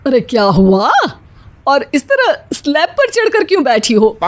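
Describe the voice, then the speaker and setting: High-voiced bossy, sarcastic mother-in-law acted by a woman. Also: wildly swooping pitch, a little slow, full character voice. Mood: scolding. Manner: scolding, sarcastic. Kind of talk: animated moral story dialogue.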